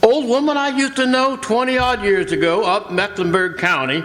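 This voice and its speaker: A man playing high-voiced folksy old postmaster. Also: steady tone, moderate pace, fairly bright tone. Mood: diffident. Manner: diffident, clipped country phrasing. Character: modest, folksy older rural postmaster. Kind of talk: radio drama acting. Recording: echoey hall stage recording.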